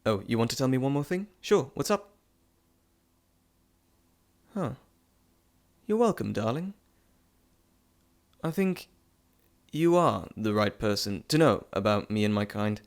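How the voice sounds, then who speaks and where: Guy doing a playful greek messenger god, chatty n fond, medium pitch, teasing you in an audio roleplay. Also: lively intonation. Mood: soft, fond. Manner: chatty, teasing, theatrical asides and pauses. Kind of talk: intimate audio roleplay to listener.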